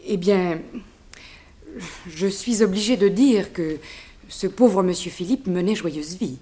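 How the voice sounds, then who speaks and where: An actress playing a respectful, talkative and gossipy chambermaid in a 1960s radio serial. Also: female voice, on the low side, slow pace, mellow. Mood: chatty, concerned. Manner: deferential, chatty.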